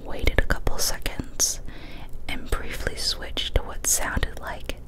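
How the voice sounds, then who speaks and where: Young woman whispering a scary story. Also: slow pace. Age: young adult. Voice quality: breathy, unvoiced whisper. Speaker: ASMR storyteller. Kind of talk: whispered ASMR reading of a first-person horror story. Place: small cozy indoor room. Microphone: close studio condenser mic on a boom arm.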